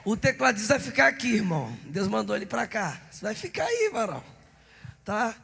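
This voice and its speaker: A hoarse man, a pastor, leading a church service, urging the congregation and calling out to them. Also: high-pitched, big pitch swings, a little fast, sharp tone, in a large hall. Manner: exhorting the congregation, calling out to the crowd. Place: church hall. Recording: live church service with music.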